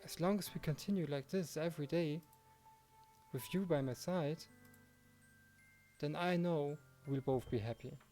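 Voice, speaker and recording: A man voicing an earnest, devoted teenage boy in love, reading a visual novel aloud in a gentle, sincere way. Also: a little high, unhurried, long pauses, faint music behind, close to an everyday voice. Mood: earnest, loving.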